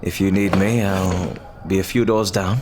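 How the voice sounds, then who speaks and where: Deep-voiced, earnest pirate captain, acted by a man. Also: steady tone, noisy background, natural voice. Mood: resigned, gentle. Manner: halting, earnest, formal old-fashioned phrasing. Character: earnest lovestruck male pirate captain, hesitant and tender. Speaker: audio roleplay performer. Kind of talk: ASMR roleplay acting.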